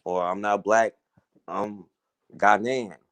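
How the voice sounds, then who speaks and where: A man's voice: fairly low pitch, steady tone, slow pace, a bit bright, no room sound. A man delivers an earnest, conversational radio monologue. He checks in with his listeners through "you know" and "right?". The sound is dry and close. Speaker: talk radio host. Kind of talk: casual monologue commentary.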